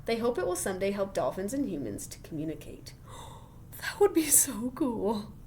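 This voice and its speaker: A woman reading a children's science book aloud like a teacher, expressive and full of wonder, with chatty, encouraging asides to young listeners. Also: mid-range voice, normal speed, slight background noise.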